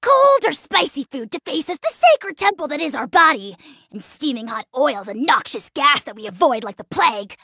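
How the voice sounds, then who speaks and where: Shrill, gravelly little boastful dragon lord. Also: female voice, big pitch swings, normal speed, sharp tone, dry recording, studio quality, exaggerated voice. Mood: pompous. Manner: pompous, theatrical, taunting. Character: tiny boastful dragon lord, petulant, self-important, menacing. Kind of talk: video game character voice acting.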